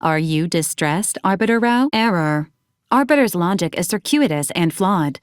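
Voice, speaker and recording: Woman voicing a clinical deadpan robot companion, close and dry. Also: on the low side, normal speed, clear, resonant tone, no room sound, natural voice. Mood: clinical, officious. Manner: clipped, formal, deadpan, machine-like announcements. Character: female-voiced hovering robot companion, clinical, officious, dryly literal. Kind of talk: scripted video game character lines.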